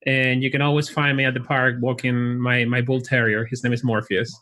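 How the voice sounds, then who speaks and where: A man's voice: mid-range voice, a little fast. Chilean-accented man chatting easily. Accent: Chilean Spanish. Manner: friendly, relaxed, with frequent restarts and fillers. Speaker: college recruitment director. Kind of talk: casual interview. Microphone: video call.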